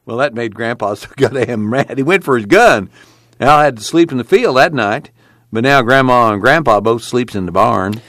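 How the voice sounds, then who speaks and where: A man voicing a gleeful, folksy farm father from the in an audiobook, excitable and tumbling over his words. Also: medium pitch, moderate pace, dry recording, clean studio sound, close to an everyday voice. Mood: amused, boisterous. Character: gleeful rustic farm father, chuckling storyteller. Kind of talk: audiobook character acting.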